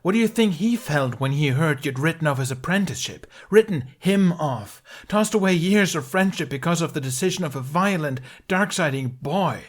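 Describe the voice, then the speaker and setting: A furious middle-aged knight, voiced by a male reader, his raised voice pitched above the narration. He accuses with heat and grief, driving each line forward, in a plain storybook reading. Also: animated, normal speed, hardly any pauses.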